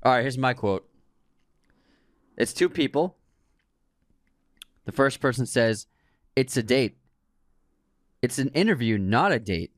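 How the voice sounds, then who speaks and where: A man co-hosting a casual movie podcast, reading a sponsor spot briskly and joking with his co-hosts. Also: mid-range voice, lively intonation, no room sound, studio quality. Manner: reads the sponsor spot briskly, then jokes and laughs. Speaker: podcast co-host.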